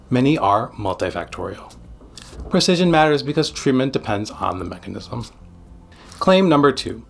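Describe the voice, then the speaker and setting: A man giving scripted educational narration as a psychiatrist, speaking in short, deliberate, emphatic phrases. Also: medium pitch, vocal fry, husky. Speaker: psychiatrist presenting an explainer video.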